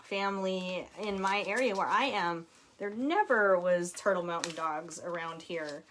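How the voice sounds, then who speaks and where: A woman's voice: on the low side, measured pace, a little hiss or hum. A woman in her forties, an author hosting a casual live chat from home, reading out viewer comments and laughing often. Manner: chatty and warm, reads viewer comments aloud, laughs often.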